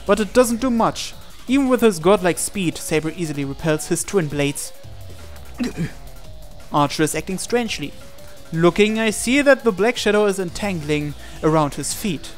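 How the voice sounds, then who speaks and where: A man's voice: high voice, lively intonation, moderate pace, soft background music. A man reading a visual novel aloud as dramatic first-person narration, with an earnest, intense and theatrical delivery. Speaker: let's-play reader of a visual novel.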